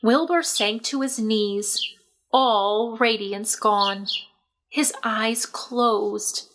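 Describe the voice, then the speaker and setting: Bright-voiced woman reading a children's storybook. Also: a little high, very slow. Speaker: children's book reader. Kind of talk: expressive storybook read-aloud narration.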